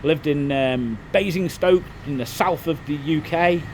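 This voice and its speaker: Softened Scouse man rambling to camera. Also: a little high, unhurried, slight background noise. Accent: Liverpool, softened. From England. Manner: rambling, frequent restarts and "it is what it is". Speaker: vlogger, property developer. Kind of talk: casual monologue to camera.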